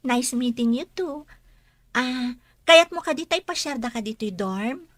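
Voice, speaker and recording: A woman's voice: high voice, animated, a little slow, no echo. An actress playing a kind young woman in a radio drama, friendly and reassuring. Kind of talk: Ilocano radio drama acting.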